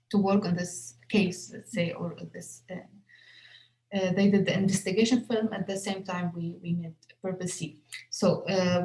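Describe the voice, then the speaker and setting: A woman's voice: fairly low pitch, creaky, darkish tone, echoey room, poor audio. A young woman, a film director whose first language is Arabic, answering questions at a festival Q&A with many fillers and restarts. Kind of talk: festival Q&A conversation.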